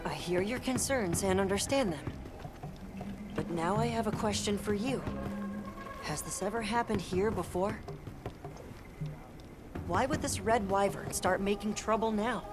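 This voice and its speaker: A woman playing an earnest, thoughtful young naturalist in a video game, explaining things in a measured way. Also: mid-range voice, close to an everyday voice. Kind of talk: video game character acting. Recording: game music behind dialogue.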